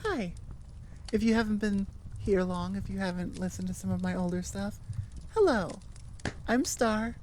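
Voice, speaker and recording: A woman's voice: medium pitch, normal speed, darkish tone. Woman chatting casually over background noise. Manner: self-deprecating, wandering and tangential. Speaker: audio roleplay creator and channel host. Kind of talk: casual rambling outro chat. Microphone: sitting at a computer microphone, recording into audio software.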